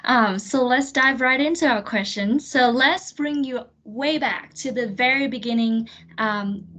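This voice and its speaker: A woman moderates an online alumni panel in a fairly high voice. She speaks hesitantly, with frequent "um"s between her phrases. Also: unhurried, pushed and bright. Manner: hesitant, many "um"s. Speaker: admissions counselor and moderator. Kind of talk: moderating a webinar. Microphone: video call.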